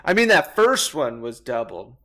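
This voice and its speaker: A man's voice: on the high side, very animated, moderate pace, bright, forceful tone. A man talking casually as a player in a tabletop roleplaying game.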